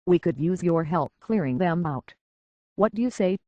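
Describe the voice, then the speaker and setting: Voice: medium pitch, animated, moderate pace, no echo, dull audio, close to an everyday voice. An actor playing a plainspoken, guarded survivor from a harbor town in a video game, talking in a terse, folksy way. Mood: businesslike, resolute. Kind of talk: video game character acting.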